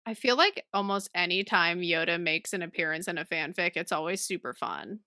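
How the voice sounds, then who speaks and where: A woman podcast co-host with a slightly low, bright and forward voice talks in a relaxed book club format. She explains her thoughts informally, in a dry, close recording. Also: a little fast, no echo. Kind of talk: casual book club chat.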